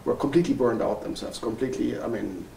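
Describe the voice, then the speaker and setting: A German-accented man in his forties speaks earnestly, pausing on ums and correcting himself as he goes. His voice carries a gravelly edge. It sounds roomy and a bit far from the mic, with a faint hiss underneath. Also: mid-range voice, warm tone. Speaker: family physician. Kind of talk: interview storytelling. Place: wood-paneled room indoors.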